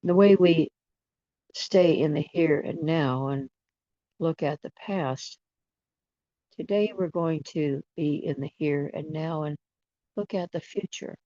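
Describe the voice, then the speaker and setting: A person leading a guided imagery meditation in a soothing manner, with long pauses between short phrases. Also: medium pitch, mellow, dry recording. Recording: dull, dry lo-fi recording.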